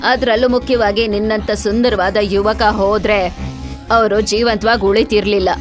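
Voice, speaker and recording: ನಟಿಯೊಬ್ಬಳು ಅಭಿನಯಿಸಿದ ನಿಗೂಢ ಕಥೆ ಹೇಳುವ ಢಾಬಾ ಒಡತಿ. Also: female voice, medium pitch, fairly even intonation, moderate pace, soft background music, lightly acted. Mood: ominous. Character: motherly, ominous eatery woman telling ghost tales.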